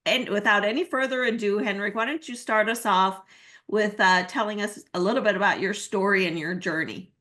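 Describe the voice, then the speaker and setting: A woman's voice: mid-range voice, a little fast, pushed and bright. Upbeat woman hosting a podcast. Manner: upbeat, enthusiastic introductions. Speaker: podcast host. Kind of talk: podcast introduction and interviewing.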